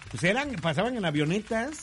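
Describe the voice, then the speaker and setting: A man's voice: high-pitched, very animated, a little fast, fairly bright tone, slight background noise. A Mexican man co-hosting a radio show with teasing, slangy, casual banter. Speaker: radio co-host.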